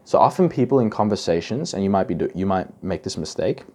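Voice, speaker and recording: A man's voice: sits low, animated, fast, darkish tone. A young man from Indonesia giving casual advice to camera, chatty and full of "like" and "right?". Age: young adult. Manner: chatty, many fillers like "like" and "right?". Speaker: self-improvement YouTuber.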